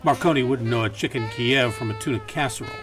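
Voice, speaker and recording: Man acting a hard-boiled film noir detective, deadpan, measured, world-weary, medium pitch, music behind. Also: close to an everyday voice. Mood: wry, deadpan. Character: hard-boiled male private detective narrating his case, polite, world-weary. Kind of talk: campy film noir radio play narration.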